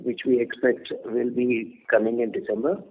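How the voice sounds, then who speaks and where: A man giving measured, brief business answers as a company executive. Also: medium pitch, little pitch movement, vocal fry. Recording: narrow phone line, faint noise.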